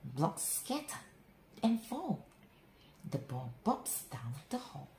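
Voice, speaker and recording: A woman reading a children's bedtime story aloud, with expressive, dramatic phrasing and drawn-out words. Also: low voice. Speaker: picture book reader. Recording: roomy echo, faint background noise.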